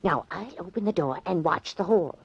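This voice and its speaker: A woman's voice: fairly low pitch, normal speed, vocal fry, slightly rough, no echo, heavily acted. An actress playing a spirited, eccentric elderly small-town lady, prim, chatty and insistent, in a 1940s radio drama. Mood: scheming, insistent. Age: older. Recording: dull vintage 1940s radio recording.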